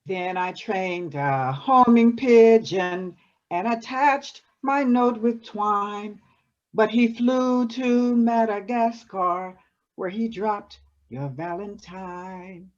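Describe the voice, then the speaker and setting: A woman's voice: medium pitch, slow. A woman performer reading story and verse aloud in readers theatre. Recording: dull lo-fi recording.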